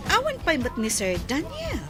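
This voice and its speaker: A high, swooping, talkative village woman with an Ilocano accent. Her radio drama delivery is nosy and curious, with some music underneath. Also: huge pitch swings, moderate pace, full character voice. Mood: eager, nosy.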